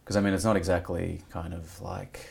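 A man interviewing a guest, asking questions in a halting way as he searches for words. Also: deep voice, flat delivery, gravelly, darkish tone.

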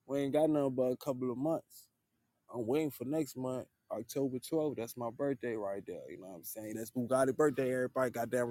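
This is a relaxed man in his thirties chatting live with his audience. His voice sits at an ordinary pitch with a warm, mellow tone. The recording is dry and close, and he speaks earnestly, coming back to the same phrases. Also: dry recording. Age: 30s. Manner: laid-back, repeats "man" at line ends. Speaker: livestream host. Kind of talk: casual livestream chat with viewers.

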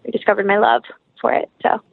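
A young woman with an American accent speaks in a chatty, enthusiastic way, as a guest in a relaxed interview. Her pitch is average, and the sound is close and dry with a narrow, muffled band. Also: quick pace, vocal fry, dry recording. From North Carolina, United States. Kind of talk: casual conversational interview.

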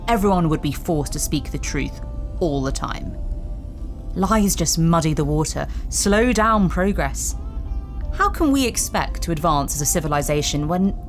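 Audio drama sci-fi: confident female reporter, dry and inquisitive, slightly low pitch with animated swings. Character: confident, dry, probing female journalist in space. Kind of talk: science fiction audio drama acting.

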